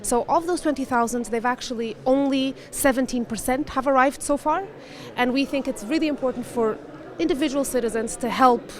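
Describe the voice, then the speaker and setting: Articulate woman. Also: a little high. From Portugal. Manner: articulate, explanatory. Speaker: refugee volunteer. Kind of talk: interview answers.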